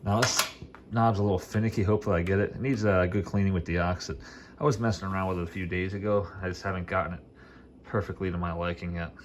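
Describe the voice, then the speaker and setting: Low-voiced man chatting casually, noisy recording. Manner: informal, colloquial, rambling, enthusiastic. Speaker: vintage hi-fi hobbyist demonstrating gear. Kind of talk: casual, unscripted product demo chat.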